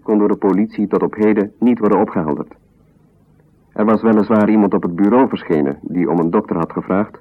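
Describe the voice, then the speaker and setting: An actor playing a dry official narrator in a radio drama, reading a report in a formal, factual way. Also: male voice, on the low side, close to an everyday voice. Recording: phone-like thin 1970s radio sound.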